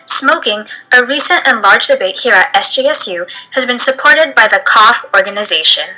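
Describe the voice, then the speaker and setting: A woman narrates from a script in a higher, very bright and forward voice. She delivers it as documentary voice-over, with a music bed faintly underneath. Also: expressive, moderate pace, low-quality recording. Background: background music.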